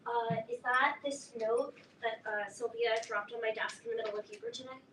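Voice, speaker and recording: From some distance in an echoey room comes a woman playing a teenage schoolgirl, anxious to please. Her high, bright voice is halting and apologetic, in the manner of a live play reading. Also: steady tone, noisy background, natural voice. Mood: nervous. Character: eager, nervous teenage schoolgirl, new club recruit. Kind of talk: staged play reading before an audience. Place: live reading in a roomy indoor space.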